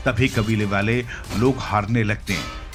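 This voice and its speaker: A man with a fairly low voice tells a scripted children's fantasy tale. He is the narrator, and music plays clearly behind his words. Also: fairly even intonation, unhurried. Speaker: story narrator. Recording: clear music bed under the voice. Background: background music.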